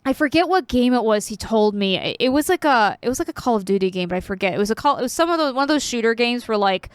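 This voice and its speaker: A woman's voice: a little high, expressive, fast talker, clean tone, no room sound, clean studio sound. A woman co-hosting a podcast, talking in a casual, meandering way and telling stories. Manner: chatty, meandering storyteller. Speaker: podcast co-host. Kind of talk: casual chat.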